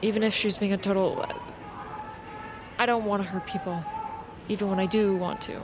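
A woman's voice: medium pitch, fairly even intonation, moderate pace, background noise, lightly acted. An actress playing a guarded teenage girl with a secret, hesitant and understated in an audio drama. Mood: hesitant, confessing. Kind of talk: naturalistic audio drama acting.

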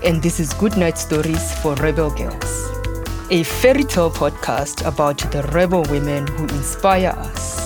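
Low-voiced woman telling a children's story. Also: unhurried. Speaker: podcast narrator. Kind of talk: scripted children's storytelling narration. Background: music.

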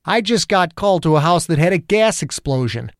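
A blunt, foul-mouthed small-town detective, old and stubborn, spoken by a man in a pitch lifted well above his narrating voice. The delivery is combative, and the sound is dry and close. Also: animated, normal speed, no echo. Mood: angry. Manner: blunt, profane, confrontational. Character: gruff older male small-town police detective, combative.

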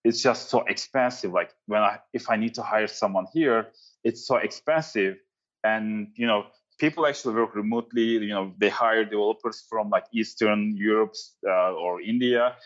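A man from Turkey with a Turkish accent, answering interview questions in a halting way with many fillers. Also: lowish voice, dull audio. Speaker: guest, company founder.

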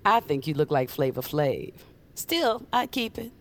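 A woman's voice: deep voice, sing-song, measured pace. An actress playing a sly, sardonic witch with a teasing streak in an audio drama.

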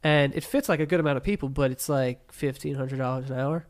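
A man's voice: mid-range voice, fairly even intonation, brisk. A man in his late twenties, nasal and congested, co-hosting a podcast in laid-back, crude casual chat. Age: late 20s. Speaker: podcast co-host.

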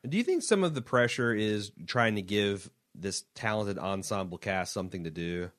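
A man's voice: lowish voice, lively intonation, fairly bright tone, no room sound, clean studio sound. A man hosting a casual podcast, his voice strained from a recent illness, rambling through listener messages. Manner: rambling, reads messages aloud quickly. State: strained voice after being sick. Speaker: podcast host reading listener emails.

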